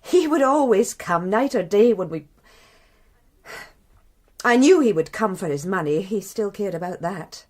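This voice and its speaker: An aristocratic English wife in a radio crime drama. She is brittle, wistful and defiant, moving between bitter scorn and raw feeling in a mid-pitched voice. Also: expressive, unhurried, long pauses, a little mellow. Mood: brittle, confessional.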